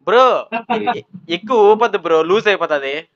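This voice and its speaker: A man's voice: high-pitched, lively intonation, moderate pace, dull audio. A man chatting casually and coaxingly as a prank host, mixing in English words. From Kadapa, Andhra Pradesh, India. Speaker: YouTuber hosting a prank on a video chat. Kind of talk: casual banter, giving dares.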